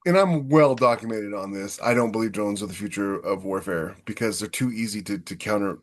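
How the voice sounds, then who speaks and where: Male co-host, somewhat low-voiced, conversational, opinionated, holding forth in a panel chat. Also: brisk. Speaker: podcast co-host. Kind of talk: casual opinionated discussion.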